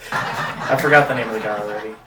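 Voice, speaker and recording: A man's voice: lowish voice, normal speed, distant mic. A man trading casual comedic banter as a talk show co-host. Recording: echoey noisy room, off-mic.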